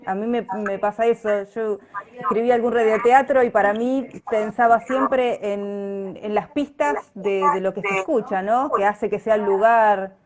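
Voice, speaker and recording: A woman's voice: mid-range voice, fairly even intonation, moderate pace, crowd noise. An Argentine woman, an actress being interviewed, talking casually and tentatively, often checking for agreement with little tag questions. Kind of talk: casual live conversation.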